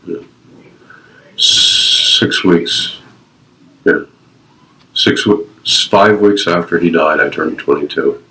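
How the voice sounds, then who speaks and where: A man's voice: sits low, takes it slowly, pauses often, bright, forceful tone, slight background noise, poor audio. A man in his fifties, a retired Navy veteran being interviewed, sharing personal stories and life advice earnestly in short, emphatic, repeated phrases. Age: 50s.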